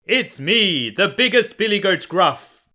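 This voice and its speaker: A huge storybook billy goat played by a man, booming and forceful. He is fearless and defiant, throwing out slow, confident challenges. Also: very animated, heavily acted. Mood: bold, defiant. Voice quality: big, booming.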